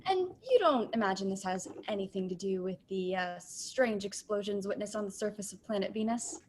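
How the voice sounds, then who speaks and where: A woman's voice: medium pitch, brisk, darkish tone, slight background noise, close to an everyday voice. A young woman in her late teens playing an earnest, formal radio news reporter in a comic radio play. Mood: serious. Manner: formal, dramatic announcing. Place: home room, on a video call.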